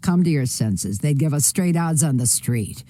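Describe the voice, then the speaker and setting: A feisty elderly woman in a game drama, with a very soft, dark tone and a dry, close sound. She is chatty and needling, scolding with teasing relish. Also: deep voice, lively intonation, moderate pace, no room sound. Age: older. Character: spry, needling old mother-in-law, chatty and feisty. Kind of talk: scripted game dialogue.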